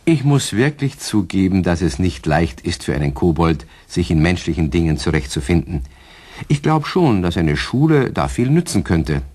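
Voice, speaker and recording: A man's voice: low voice, sing-song, moderate pace, darkish tone. An actor playing an older craftsman, reflectively narrating his tale in a radio play.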